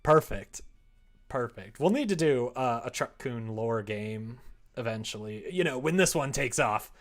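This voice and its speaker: A man's voice: mid-range voice, lively intonation, unhurried. A man live-streaming casual, playful chat as he writes and codes, sometimes mumbling to himself. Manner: playful, joking asides. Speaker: live streamer making a visual novel. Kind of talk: casual chat while writing and coding.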